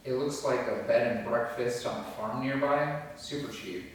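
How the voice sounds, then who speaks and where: A man's voice: mid-range voice, normal speed, close to an everyday voice. A man acting out a defensive younger brother of about thirty, curt and dry, in a film script. It is heard from far off in a roomy, ringing space with faint noise. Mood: matter-of-fact. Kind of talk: table read of a film script.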